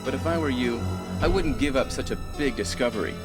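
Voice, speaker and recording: An actor playing an arrogant, scheming villain in a cheesy nineties video game, with grandiose, stilted, gloating delivery. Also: male voice, mid-range voice, fairly quick, natural voice. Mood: smug, gloating. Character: arrogant, scheming male villain obsessed with a bioweapon. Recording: game audio under loud music.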